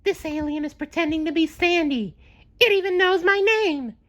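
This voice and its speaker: A woman voicing an excitable, naive male cartoon sea sponge in a storybook, earnest and dramatic in delivery. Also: extremely high pitch, moderate pace, voice pushed up. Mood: suspicious, urgent.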